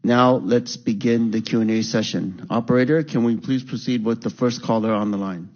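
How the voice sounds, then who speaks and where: A man's voice: medium pitch, normal speed, darkish tone. A man moderating a corporate earnings call with polite, formal, procedural delivery. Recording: dull band-limited conference call audio.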